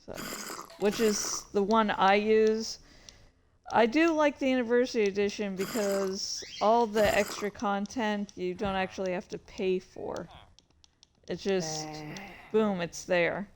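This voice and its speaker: A woman hosting a livestream with casual, halting, easily sidetracked chat. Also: medium pitch, a little hiss or hum. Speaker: livestream host and fan fiction reader. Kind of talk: casual rambling stream chat.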